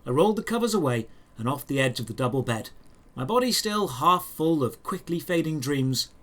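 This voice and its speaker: Higher-voiced man narrating a fantasy adventure. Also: animated, brisk, fairly bright tone. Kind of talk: scripted first-person fantasy story narration.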